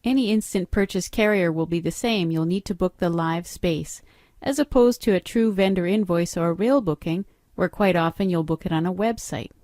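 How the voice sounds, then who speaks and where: Woman, middle-aged, software trainer, step-by-step, matter-of-fact, dry close recording. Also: on the low side, normal speed, no room sound. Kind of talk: screen-recorded training walkthrough.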